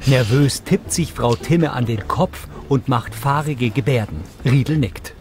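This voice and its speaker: A man's voice: mid-range voice, a little slow, music in the background. A man narrating scripted audio description in a neutral, factual manner.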